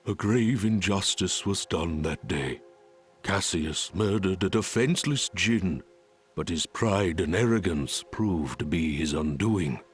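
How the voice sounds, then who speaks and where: An actor playing a solemn, wise old creature elder in a video game, grave and theatrical, with a husky voice. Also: male voice, sits low, animated, unhurried, faint music behind. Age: older. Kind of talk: video game character acting.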